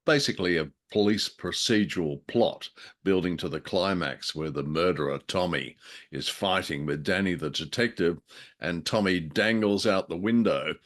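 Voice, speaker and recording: A very low-voiced man talks as a co-host in a relaxed review conversation. He is wry and jokey, and likes to put things with a dramatic flourish. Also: moderate pace. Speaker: podcast co-host. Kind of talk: casual review chat about old-time radio drama.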